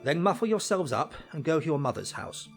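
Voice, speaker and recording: Clipped English radio detective: highish voice, very animated, self-assured, commanding, old radio drama. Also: male voice, brisk, soft background music. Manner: clipped, authoritative, theatrical. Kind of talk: 1940s radio acting.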